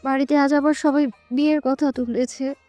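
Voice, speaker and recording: Woman voicing a high, pleading young romance heroine. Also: flat delivery, normal speed, mellow, no echo, faint music behind, lightly acted. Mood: pleading, tender.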